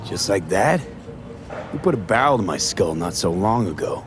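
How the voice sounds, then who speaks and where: A man's voice: sits low, sing-song, normal speed, music in the background. A male actor playing a guarded young mercenary in a cyberpunk game, American, speaking in clipped, streetwise, matter-of-fact phrases. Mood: wary, easing. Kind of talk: video game voice acting.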